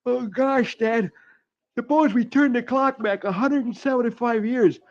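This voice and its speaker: A man's voice: extremely high pitch, a little slow, pitched above the performer's own voice. A man voicing an earnest, polite young boy adventurer in 1940s radio style. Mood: earnest, boyish. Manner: earnest, boyish. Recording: dull, noisy vintage radio recording.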